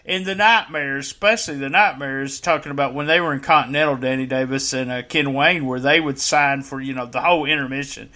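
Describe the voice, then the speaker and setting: A man's voice: mid-range voice, brisk, few pauses, pushed and bright. A middle-aged man hosting a podcast, rambling with lots of fillers and joking with his guest. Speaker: podcast host. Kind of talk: casual interview chat.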